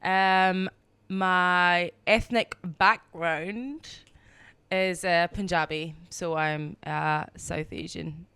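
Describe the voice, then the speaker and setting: A woman's voice: fairly low pitch, steady tone, drawn-out words, bright, forceful tone, clean tone, no echo. A young woman with a Glaswegian Scottish accent, chatting playfully and joking as a podcast guest. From Glasgow, Scotland. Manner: playful, joking, laughs often. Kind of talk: casual podcast chat.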